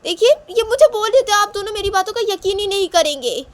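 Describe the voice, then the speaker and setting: A woman's voice: very high-pitched, animated, fairly quick, voice pushed up. A woman voicing a pleading young girl who protests insistently and whines in a story. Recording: clear background noise.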